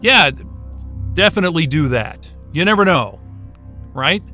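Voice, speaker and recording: Bright-voiced, evasive nervous scientist. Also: male voice, fairly high pitch, big pitch swings, a little slow, faint music behind, full character voice. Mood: defensive. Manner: stammering, casual, defensive. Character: evasive, nervous male astrophysicist at a polar station. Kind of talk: naturalistic audio drama acting.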